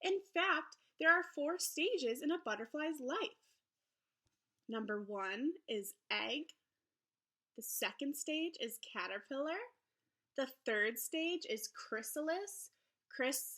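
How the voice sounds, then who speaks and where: Bright, high-voiced woman teacher reading aloud. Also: lively intonation, slow pace. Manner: encouraging, speaking to young children. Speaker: elementary school teacher. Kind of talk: children's read-aloud lesson.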